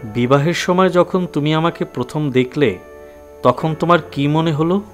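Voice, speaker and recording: A man playing a gentle, educated young husband, a lawyer who speaks patiently and probingly in an audio drama. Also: on the high side, normal speed, soft background music, lightly acted. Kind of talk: Bengali audio drama acting.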